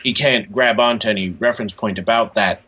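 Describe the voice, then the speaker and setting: A man with a fairly low, husky voice gives reflective interview answers. He is halting and often restarts his phrases. The sound is somewhat dull and lo-fi. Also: bright, forceful tone. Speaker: interview guest, author.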